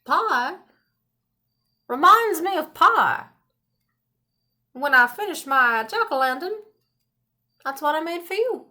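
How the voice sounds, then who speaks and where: Woman voicing a slow, sly ancient wizard. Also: high-pitched, animated, bright, forceful tone, heavily acted. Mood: calm, musing. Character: sly, unhurried nine-hundred-year-old wizard. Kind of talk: children's storybook read-aloud.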